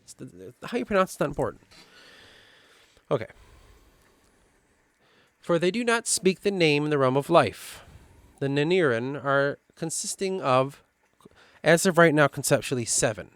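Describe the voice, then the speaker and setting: A man with a Midwestern accent reading through his own fantasy lore notes and thinking aloud, halting and restarting as he goes. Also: fairly high pitch, animated, a bit bright, no room sound. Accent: Midwestern American. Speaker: worldbuilding writer.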